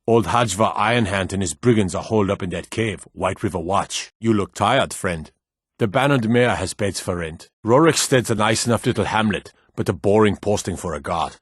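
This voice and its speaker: A world-weary town guard from a fantasy video game. His voice sits low, with a slightly rough edge and a dry, close sound. He is stern and sparing with words, gruff in how he addresses people. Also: normal speed, no echo. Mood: watchful, matter-of-fact. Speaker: game character voice.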